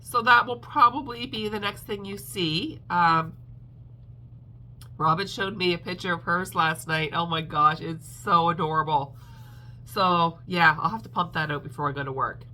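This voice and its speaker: A woman's voice: fairly low pitch, moderate pace, sharp tone, poor audio. A woman crochet vlogger chatting casually at home, stuffed up and congested, rambling with lots of ums and little laughs. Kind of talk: casual chat to camera.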